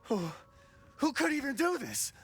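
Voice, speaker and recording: A man's voice: extremely high pitch, huge pitch swings, a little slow, a bit bright, exaggerated voice. An actor playing a desperate, hot-tempered father in a video game, blunt and agitated. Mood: horrified disbelief. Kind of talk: dramatic video game voice acting.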